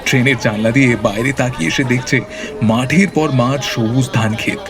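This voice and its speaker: A man narrates a scripted story with a Bengali accent. His voice sits at a medium pitch, with music clearly playing behind him. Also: moderate pace. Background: background music.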